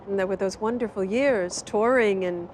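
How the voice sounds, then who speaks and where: An actress as a nostalgic, theatrical former stage star from a period film who gushes and laughs as she reminisces. Clear train noise runs beneath her. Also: mid-range voice, moderate pace, darkish tone, close to an everyday voice, poor audio.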